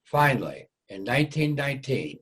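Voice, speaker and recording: Husky man narrating a history script. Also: mid-range voice, big pitch swings, moderate pace, a bit bright. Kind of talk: scripted narration for reader's theater.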